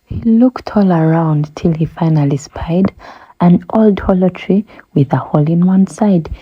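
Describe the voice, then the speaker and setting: Woman reading a rhyming kids book out loud, expressive, soft husky voice, slightly muffled sound. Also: on the low side. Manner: measured, expressive storytelling. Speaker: children's book narrator. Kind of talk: rhyming storybook read-aloud.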